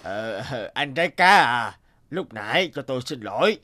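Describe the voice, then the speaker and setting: Sly, swoopy cartoon fox. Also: male voice, medium pitch, big pitch swings, moderate pace, sharp tone. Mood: sheepish, apologetic. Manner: wheedling, hesitant with many hems. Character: sly, greedy, lazy male fox. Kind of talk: children's cartoon voice acting.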